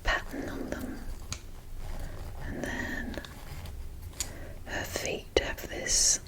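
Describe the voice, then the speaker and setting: A young adult woman whispering in a casual ASMR show-and-tell style, breathy and enthusiastic, with halting, unhurried phrasing. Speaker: ASMR video creator.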